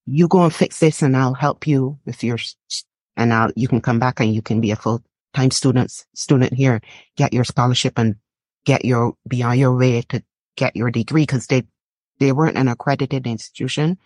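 A middle-aged woman from Barbados with a Barbadian accent, reflecting on personal experience as an interview guest, often halting and repeating phrases. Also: very deep voice, steady tone, darkish tone, no room sound. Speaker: interview guest, artist and writer.

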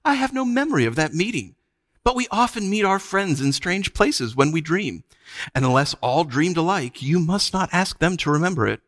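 A man voicing a sweet, soft-spoken, mocking witch queen for an audiobook, with courtly, archaic phrasing and a soothing manner. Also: high-pitched, lively intonation, moderate pace, no room sound, voice pushed up. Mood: soothing, amused. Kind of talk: audiobook character voice.

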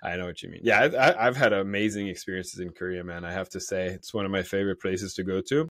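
A man's voice: low voice, steady tone, fast, fairly bright tone, no room sound, clean studio sound. A man hosting an interview podcast, chatty and asking leading questions in a friendly, casual way. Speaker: podcast host.

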